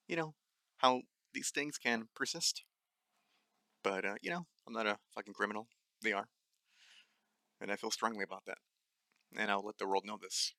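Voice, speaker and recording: A man's voice: fairly low pitch, sharp tone, no room sound. Angry young man with a dry voice. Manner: angry, blunt, swears often; many fillers and restarts. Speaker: nutrition podcast host. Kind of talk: solo ranting monologue.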